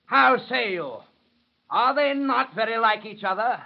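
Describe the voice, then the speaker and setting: Shrewd male barrister, high-pitched, insistent, formal, muffled vintage radio sound. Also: expressive, measured pace, gravelly, full character voice. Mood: confident, dramatic. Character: pressing, shrewd male defence counsel. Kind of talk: old-time radio drama acting. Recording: dull, lo-fi vintage radio recording.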